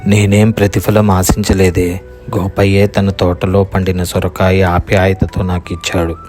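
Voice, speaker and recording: A man voicing a grasping old village landowner who speaks deliberately and admonishingly in a moral story cartoon. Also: low-pitched, little pitch movement, darkish tone, soft background music. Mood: matter-of-fact.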